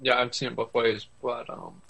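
A man with a medium-pitched voice chats as a podcast co-host. He is laid-back and slightly hesitant, tossing in questions and recollections about anime in an informal way. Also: normal speed, creaky, a bit bright, husky. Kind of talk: casual chat about anime.

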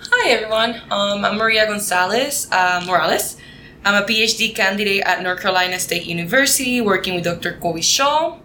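Bright-voiced woman with Puerto Rican accent. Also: mid-range voice, a little hiss or hum. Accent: Puerto Rican Spanish. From Puerto Rico. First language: Spanish. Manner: quick, laughing, with restarts. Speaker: guest, PhD candidate. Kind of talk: casual interview talk. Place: at a conference.

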